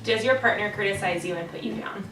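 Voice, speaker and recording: A twenty-one-year-old university student speaking reflectively, often trailing off softly at the ends of sentences. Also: female voice, medium pitch, fast, echoey room, recorded from a distance, over music, rough recording. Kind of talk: earnest awareness talk.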